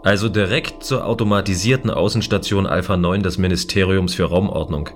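A man plays a male guardian on a space station, gentle and dutiful. His low voice explains things earnestly and considerately, with music underneath in a sci-fi radio play. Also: brisk, natural voice. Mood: musing. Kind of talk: science fiction audio drama acting.

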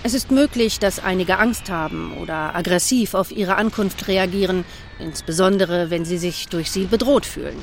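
A woman reading scripted narration in a measured, official, matter-of-fact manner. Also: lowish voice. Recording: faint background noise.

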